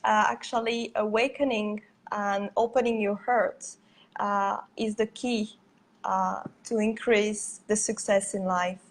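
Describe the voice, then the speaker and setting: Slow, flat-voiced French woman interviewer. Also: medium pitch, slight background noise. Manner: hesitant, many fillers, soft laughs. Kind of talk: live webinar interview. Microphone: video call.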